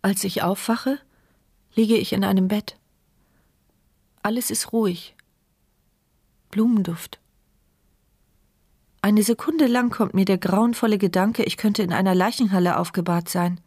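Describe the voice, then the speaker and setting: A woman's voice: medium pitch, unhurried, long pauses, a little mellow, no echo, clean studio sound. An actress playing an injured woman who narrates her thoughts in a wry, wary inner monologue for a radio crime drama. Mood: uneasy, reflective. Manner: inward, sardonic monologue.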